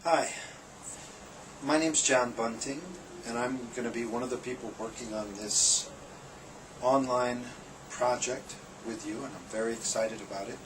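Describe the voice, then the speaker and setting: A man's voice: mid-range voice, a little slow. A man, a senior lecturer, introducing himself for an online course in a friendly way with small restarts. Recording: clear background noise.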